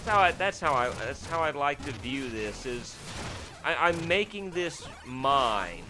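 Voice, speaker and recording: A man's voice: big pitch swings, measured pace. A man giving casual, rambling commentary over a game he is playing, full of fillers and restarts and with sudden startled yelps. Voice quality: fairly deep voice. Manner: rambling, filler-heavy, self-deprecating. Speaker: let's play host. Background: faint game music.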